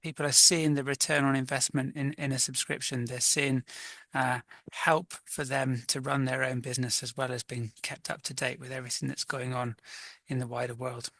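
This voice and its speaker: A man, a magazine editor, answers interview questions in a measured, thoughtful way, often pausing on "uh" and "um". His voice is bright and forward. The recording is dry and close, with almost no room sound. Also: mid-range voice, little pitch movement, vocal fry, no room sound. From Wales. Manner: thoughtful, measured, with frequent fillers.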